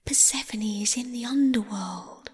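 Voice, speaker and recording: A woman voicing a secretive, sorrowful river spirit in a breathy whisper, in a told myth. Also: a little high, measured pace, a little mellow, voice pushed up. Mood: hushed, secretive. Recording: long hall-like reverb.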